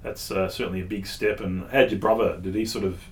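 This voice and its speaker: A man hosts a relaxed interview podcast. His voice sits on the low side. He feels his way through questions, often pausing and repeating words. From Tasmania, Australia. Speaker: podcast host.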